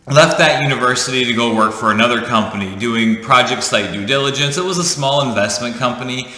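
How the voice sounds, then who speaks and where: A man's voice: mid-range voice, bright, forceful tone. A man in his thirties telling a personal story to his viewers in a chatty, jokey way, correcting himself as he goes. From United States. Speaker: vlogger. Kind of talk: casual storytelling to camera. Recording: echoey room with long reverb.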